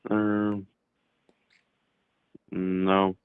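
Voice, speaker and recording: Over a dry, phone-like line comes a very low, creaky male voice. He is a caller arguing casually, halting and offhand as he goes. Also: monotone, dry recording. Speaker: caller debating in support of Trump. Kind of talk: casual argumentative chat. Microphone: phone or online call. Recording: narrow phone-like call audio.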